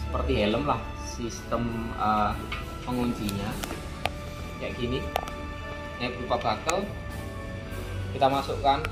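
A man running a casual, chatty hands-on product demo in an indoor motorcycle shop, talking to viewers like friends. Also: mid-range voice. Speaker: motorcycle gear shop owner demonstrating a product. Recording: echoey room with background music. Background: background music.

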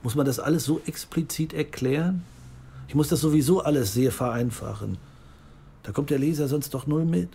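An actor playing an impatient older writer who dislikes technology. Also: male voice, a little high, moderate pace, vocal fry, warm tone, slight background noise. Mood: grumpy. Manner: grumpy, impatient. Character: older male writer Fritz, tech-averse and impatient.

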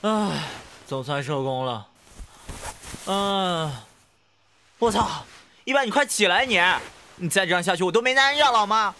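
A man's voice: high-pitched, lively intonation, moderate pace, pauses often, bright, forceful tone, slight background noise, heavily acted. A man playing a brash, flustered young man in an audio drama, whining and protesting in exclamations. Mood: exasperated. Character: brash, flustered, foul-mouthed young man in an ancient-style romance. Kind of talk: Chinese audio drama acting.

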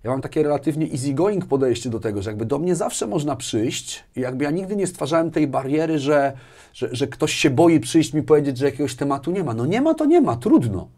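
A man's voice: on the high side, brisk. A man in his forties explaining in a casual interview, repeating words and often checking with a questioning tag. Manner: animated explaining, repeats words, tags sentences with a questioning "right?". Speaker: interview guest, sales executive. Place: small furnished indoor studio. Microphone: lapel mic.

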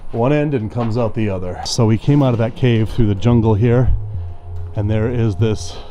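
A man's voice: fairly low pitch, dark tone. Curious, chatty man exploring ruins. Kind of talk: casual walking vlog narration. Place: outdoors in overgrown ruins and jungle.

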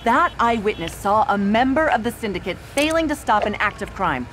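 An actress playing a determined reporter in a video game, giving an emphatic, dramatic on-camera news report. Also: female voice, mid-range voice, very animated, normal speed, a bit bright, slight background noise.

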